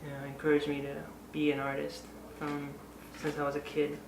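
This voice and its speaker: A man talking reflectively about himself, halting and pausing between short phrases. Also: a little high, completely flat delivery, creaky. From Oregon and Costa Rica. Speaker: artist and educator. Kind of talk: personal introduction, reflective talk. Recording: echoey, noisy room, distant mic.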